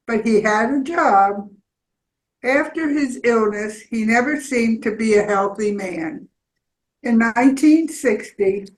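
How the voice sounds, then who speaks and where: A woman in her eighties reads a personal memoir aloud at an unhurried pace. Her voice sits a little high for a woman, and the room around her adds a clear echo. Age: 80s. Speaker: community storyteller reading a personal memoir. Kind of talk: scripted personal narration on stage. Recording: roomy, echoing live space.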